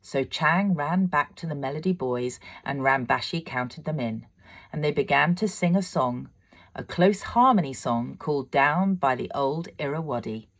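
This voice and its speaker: Low-voiced woman reading a children's story. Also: normal speed. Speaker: amateur storybook reader. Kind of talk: scripted narration of a children's story, read aloud.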